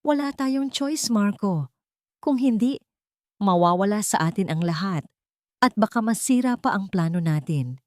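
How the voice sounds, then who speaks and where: A woman's voice: mid-range voice, expressive, a little slow, no room sound, clean studio sound, natural voice. Woman narrator as a cold, scheming daughter-in-law. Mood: irritated, urgent. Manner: polite on the surface, curt and irritated.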